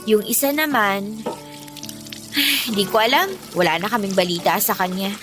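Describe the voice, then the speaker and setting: A woman's voice: medium pitch, moderate pace, close to an everyday voice. A woman with a Filipino accent playing a candid, hard-up eighteen-year-old girl in a radio drama, telling things in an emphatic, conversational way. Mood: resigned, doubtful. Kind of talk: Tagalog radio drama acting. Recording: music bed under the dialogue.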